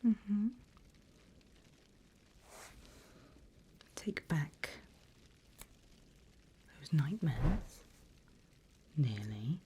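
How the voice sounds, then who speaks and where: A woman playing a seasoned demon mentor in a whispered ASMR roleplay, breathy and deliberate, playfully sinister and teasing. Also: low voice, sing-song, background noise, heavily acted.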